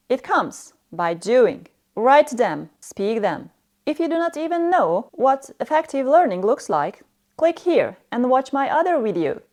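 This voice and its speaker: A woman's voice: fairly high pitch, a little mellow. A woman narrating a scripted language lesson as a stern, harsh and demanding teacher.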